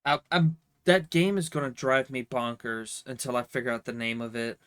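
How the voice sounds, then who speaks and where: A man's voice: mid-range voice, fairly even intonation, normal speed, fairly bright tone, clear, resonant tone. Nasal man with a slight cold. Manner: rambling, filler-heavy, swears freely. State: slight cold, stuffy nose. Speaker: streamer answering viewer questions. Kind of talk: casual chat.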